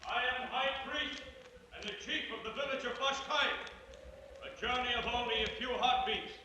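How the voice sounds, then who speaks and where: Distant, very high-voiced solemn high priest. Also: male voice, fairly even intonation, pushed and bright. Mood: reverent. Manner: ceremonious, formal, measured. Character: solemn, submissive high priest and mountain village chief. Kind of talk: 1950s radio drama acting. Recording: distant, echoing, noisy old radio broadcast.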